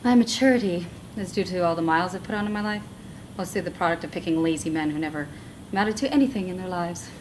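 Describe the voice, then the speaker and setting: A woman's voice: fairly low pitch, quick pace, noisy background, natural voice. An actress in her thirties playing a sardonic, wry woman listing the men who wronged her. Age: 30s. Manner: sarcastic, wry. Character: sardonic 32-year-old woman, burned by men.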